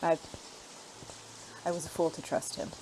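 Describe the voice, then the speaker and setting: Against noticeable background noise, a woman plays a refined former spy in an audio drama. The voice is fairly low, the phrasing formal and halting, with a secretive, courteous air. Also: level tone, warm tone, lightly acted. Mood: composed, regretful.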